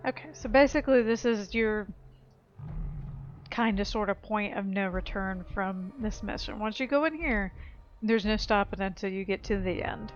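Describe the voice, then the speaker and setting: A woman's voice: medium pitch, moderate pace, highs cut off. A woman giving a casual, playful game walkthrough in an informal, slangy way. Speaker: gaming video host. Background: faint game music.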